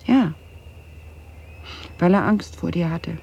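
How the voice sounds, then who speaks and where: An actress playing a haunted middle-aged woman in a German radio drama, confiding grave family guilt in a halting, husky voice. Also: lowish voice, a little mellow, a little hiss or hum. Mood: troubled. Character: haunted Dutch woman confessing her family's wartime guilt. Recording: slightly dull 1980s radio recording.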